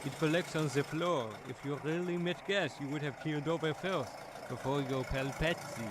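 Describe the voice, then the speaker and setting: Man acting a calm lawman over engine noise. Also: on the high side, moderate pace, natural voice. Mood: calm, knowing. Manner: explanatory. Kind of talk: radio crime drama acting.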